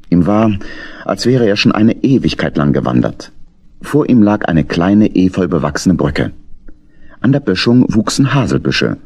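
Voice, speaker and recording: A man's voice: deep voice, darkish tone, breathy edge. A man narrating a children's audio play as a scripted storyteller.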